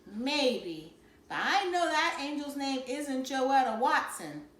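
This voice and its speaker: A woman's voice: high-pitched, big pitch swings, slow pace, fairly bright tone, echoey room, pitched above the performer's own voice, full character voice. A woman voicing a neat little girl who protests in a plaintive, doubtful way in a storybook. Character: little girl Joey, neat, doubtful, stubborn about an angel.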